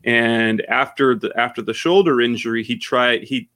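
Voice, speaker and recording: A man from Arizona, a guest on a podcast, explaining football in long, steady, analytical sentences. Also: medium pitch, moderate pace, dry recording, clean studio sound. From Arizona, USA. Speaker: podcast guest, sports site manager. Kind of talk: casual interview answers.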